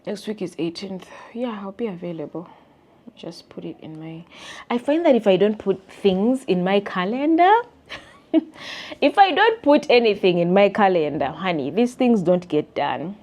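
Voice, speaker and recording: A young woman with a Ugandan English accent, ranting animatedly to camera in a casual vlog and mimicking people in squeaky voices. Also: animated, unhurried, darkish tone, muffled recording. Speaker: vlogger. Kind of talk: casual chat rant to camera.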